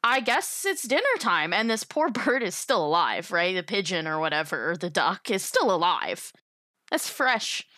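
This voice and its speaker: A woman's voice: fairly low pitch, big pitch swings, normal speed, pushed and bright, no echo, studio quality. A woman chatting casually about tabletop gaming in an enthusiastic, emphatic, colloquial way.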